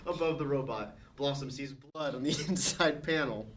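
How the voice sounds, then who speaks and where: A man's voice: mid-range voice, normal speed, a crowd in the background. A man reading scene directions as narrator at a comedic table read.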